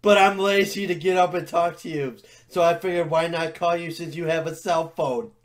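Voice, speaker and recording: Man, thirties, high voice, prank caller in a comedic phone skit. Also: steady tone, moderate pace. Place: small bedroom, indoors.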